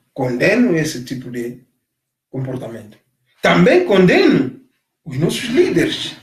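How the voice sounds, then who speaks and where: A man from Africa giving an impassioned monologue, emphatic and repetitive, stressing his points loudly. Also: high-pitched, echoey room. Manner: emphatic, repeats phrases, rising to loud stresses. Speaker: commentator on migration.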